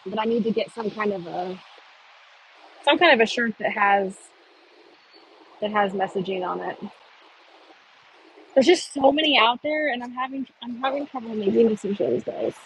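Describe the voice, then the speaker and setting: A woman's voice: fairly high pitch, moderate pace, long pauses, a little hiss or hum, low-quality recording. A woman live-streaming from a phone on a gimbal, chatting casually with her viewers with lots of fillers and giggles. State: a little thirsty. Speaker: livestreamer.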